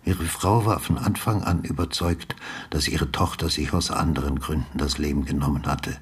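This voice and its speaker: Very deep, raspy old detective. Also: male voice, steady tone, heavily acted. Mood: probing. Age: older. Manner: measured, sober questioning. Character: brooding, patient former homicide detective, quietly probing. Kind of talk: understated German radio drama acting.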